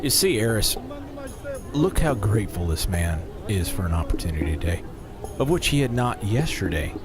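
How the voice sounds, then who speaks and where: Folksy circus boss man, jolly but smug, pitch all over, audio drama with music behind. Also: big pitch swings. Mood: patronizing. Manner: folksy, jovial, drawn-out showman phrasing. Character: folksy, self-satisfied circus boss, jovial and patronizing.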